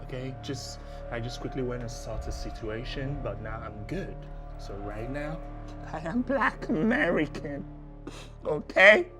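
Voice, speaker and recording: A man's voice: high voice, expressive, moderate pace, over music. A man playing a scammer who fakes an American voice on a call, begging and stammering. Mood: pleading. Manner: pleading, stammering, hushed. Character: male scammer faking an American accent on a call.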